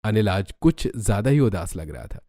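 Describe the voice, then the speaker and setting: A man's voice: lowish voice, sing-song, normal speed, dark tone. A man reading scripted narration for a cartoon story.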